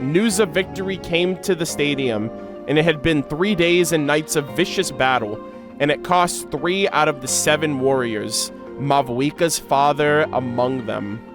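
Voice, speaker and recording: An enthusiastic man reacting to a game, reading lore expressively and commenting in slangy, casual language. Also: on the high side. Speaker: video creator reacting to game lore and voice lines. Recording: background music behind voice.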